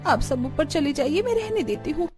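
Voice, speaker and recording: A woman's voice: high voice, expressive, moderate pace. A woman voicing a young newlywed daughter-in-law in a cartoon, whiny, dramatic and delicate. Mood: pained, plaintive. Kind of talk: Hindi cartoon story dialogue. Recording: music behind the voice.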